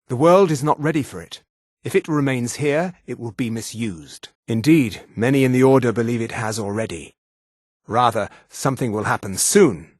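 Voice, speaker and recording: A man voicing a calm, grave high elf monk in a fantasy video game, speaking in a formal, measured manner. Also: breathy edge, dry recording, clean studio sound, close to an everyday voice.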